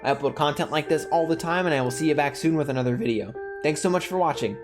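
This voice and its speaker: A man's voice: on the high side, quick pace, loud music behind the voice. A man who hosts gaming videos, reading story text aloud and commenting casually.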